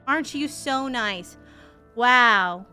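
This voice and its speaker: A young woman streamer giving casual game reaction commentary in an expressive, sarcastic, slangy and sweary way. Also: a little high, slow, clean tone, soft background music. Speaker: VTuber streamer.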